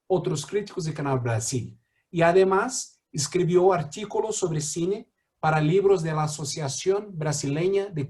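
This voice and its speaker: Brazilian man hosting in halting Spanish. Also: a little high, darkish tone. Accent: Brazilian Portuguese. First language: Portuguese. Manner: halting, many fillers and restarts. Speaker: mediator. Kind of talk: introducing a guest speaker.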